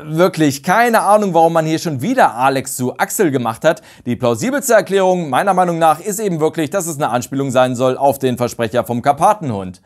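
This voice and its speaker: A man's voice: fairly high pitch, fairly quick, hardly any pauses. A man in his thirties hosting a video, telling amused stories about radio-play bloopers into a lapel mic indoors. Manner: lively, amused storytelling. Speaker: video host. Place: indoor studio room with shelves and a clock.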